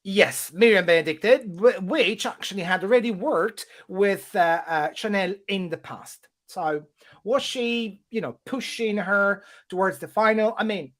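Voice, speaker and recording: A host chatting animatedly over a video call, gossipy and playful, with frequent hesitations. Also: male voice, high-pitched, a little slow, fairly bright tone. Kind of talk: casual video call chat.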